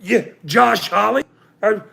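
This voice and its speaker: A man in his forties hosting a livestream, ranting angrily with profanity and loud outbursts, then joking about himself. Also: high voice, takes it slowly, gravelly. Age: 40s. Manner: angry, profane, with loud outbursts and self-mocking laughs. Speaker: channel host. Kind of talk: livestream rant.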